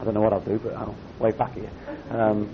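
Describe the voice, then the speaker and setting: Croaky man preaching to young people. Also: level tone, a little fast. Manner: conversational, emphatic repetition. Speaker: camp speaker. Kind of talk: youth sermon. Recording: dull lo-fi recording with faint rumble.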